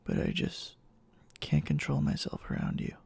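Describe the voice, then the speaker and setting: A man playing a clingy, obsessive, lovestruck boyfriend in an intimate ASMR roleplay, speaking in a halting, tender way with a breathy voice that often drops near a whisper. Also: a little mellow, natural voice. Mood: anxious, apologetic, pleading. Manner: halting, pleading, tender endearments.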